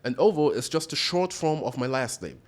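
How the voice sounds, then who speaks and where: A man's voice: on the high side, a little fast. A man from Austria, a strategic advisor, talking casually as a podcast guest and acting out voices in his stories. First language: German. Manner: animated storyteller, acts out voices in anecdotes.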